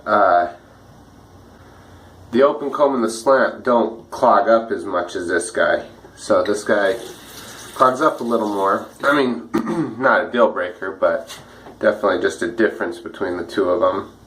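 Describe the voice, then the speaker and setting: A man with a slightly rough, dry voice, chatting casually and a bit ramblingly while he shaves at home for his vlog. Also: on the low side, measured pace, a bit bright, a little hiss or hum, rough recording. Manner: easygoing, rambling, many fillers and restarts. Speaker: wet shaving vlogger. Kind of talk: casual talk while shaving.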